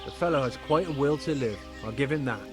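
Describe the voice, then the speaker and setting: An actor playing a sarcastic, evasive charcoal burner in a video game, defensive and easily rattled. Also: male voice, mid-range voice, quick pace, over music, lightly acted. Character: cocky defensive male charcoal burner, adult.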